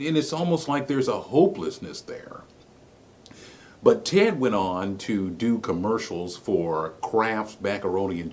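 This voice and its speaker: A middle-aged man with a smooth broadcaster's voice, telling his personal story in an earnest, emphatic way that repeats phrases for effect. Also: on the low side. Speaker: former radio broadcaster. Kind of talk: personal story and plea to camera.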